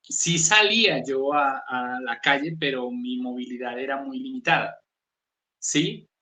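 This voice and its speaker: A man's voice: a little slow, sharp tone, roomy sound. A man from southern Colombia with a Pasto accent, a guest author talking in a thoughtful, reflective way. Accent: Colombian, Pasto region. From southern Colombia, Nariño. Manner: thoughtful, varied pitch, asks "¿sí?" to check in. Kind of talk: reflective conversational interview.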